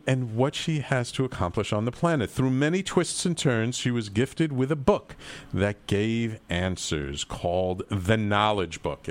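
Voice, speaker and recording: A man hosting a talk show, talking in a rambling, earnest way with repeated words. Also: mid-range voice. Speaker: host. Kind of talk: casual talk show chat, livestream.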